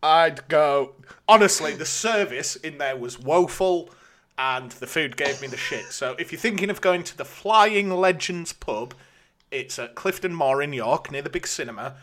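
Bright-voiced British man chatting casually. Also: fairly high pitch, lively intonation, measured pace. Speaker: podcast co-host. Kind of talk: casual chat.

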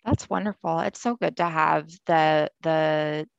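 A woman's voice: deep voice, unhurried, gravelly, clear, resonant tone, no echo, muffled recording. A woman hosting a podcast interview, affirming and encouraging as she asks questions over a remote call. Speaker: podcast host. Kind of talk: casual interview chat. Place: home in Texas. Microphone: remote video call.

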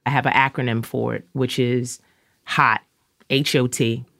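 A woman's voice: a bass voice, fairly even intonation, unhurried, creaky, fairly bright tone, no echo, clean studio sound. A woman, an actor, talking conversationally in an interview and landing key words with emphasis.

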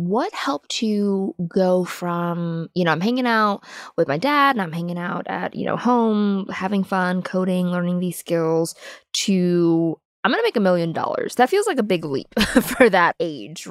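A woman's voice: fairly low pitch, creaky, no room sound, clean studio sound. A woman podcast host interviewing a guest in an engaged, conversational way. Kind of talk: conversational interview with scripted intro.

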